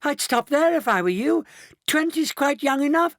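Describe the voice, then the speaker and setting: A man voicing an excitable, flustered old grandfather who exclaims in a storybook reading. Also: very high-pitched, normal speed, dry recording, clean studio sound, pitched above the performer's own voice, heavily acted. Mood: excited.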